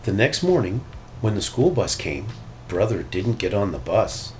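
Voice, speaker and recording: A man narrating a children's story in an expressive read-aloud style. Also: fairly low pitch, a little slow, a little hiss or hum. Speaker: storybook reader.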